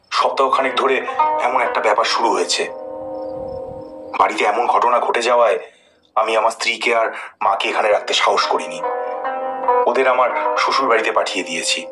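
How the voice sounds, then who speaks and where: Man acting an uneasy landlord's son, loud music. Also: lowish voice, lightly acted, poor audio. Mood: uneasy, confiding. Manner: hesitant, serious, measured. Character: troubled landlord's son, earnest hesitant family man. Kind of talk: dramatic audio story acting.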